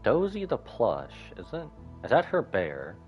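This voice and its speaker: A man's voice: medium pitch, wildly swooping pitch, slow. A man giving casual live commentary as he streams a game. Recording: dull audio over game music. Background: game music.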